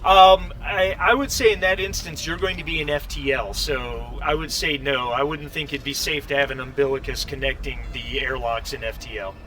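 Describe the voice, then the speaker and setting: A man narrating a tabletop game as game master in a halting, conversational way, often correcting himself as he improvises. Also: mid-range voice, fairly even intonation, sharp tone, a little hiss or hum, rough recording.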